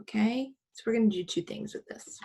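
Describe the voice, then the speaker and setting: A woman teaching a children's English lesson, explaining in simple, deliberate phrases and posing questions to her pupils. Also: on the high side, vocal fry, noisy background.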